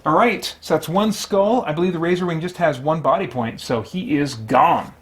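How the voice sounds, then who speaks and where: A man's voice: a little high, moderate pace. A man hosting a solo tabletop game, giving casual play-by-play and thinking aloud with mild exclamations and chatty asides to himself.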